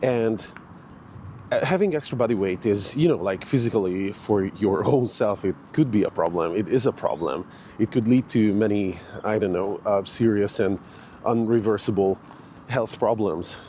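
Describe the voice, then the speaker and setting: A man's voice: lowish voice, moderate pace, mellow, slight background noise. A man, chatting casually with many fillers and restarts as he walks through a park. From Hungary. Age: 40s. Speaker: fitness vlogger. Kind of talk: casual walking vlog. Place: outdoor park in the morning.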